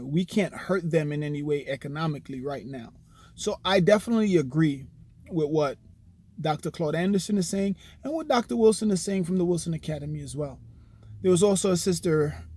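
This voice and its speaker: A man speaks straight to his audience in an earnest, persuasive way. His voice sits a bit high, and the sound is close and dry with no room echo. He often fills with "you know" and starts his sentences over. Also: darkish tone. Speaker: vlogger. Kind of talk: direct-to-camera commentary.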